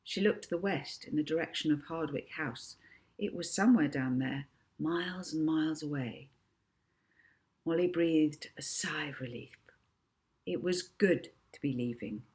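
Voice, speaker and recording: A woman's voice: low-pitched, a little slow, darkish tone. A woman narrating a children's storybook expressively, as an author reading her own book.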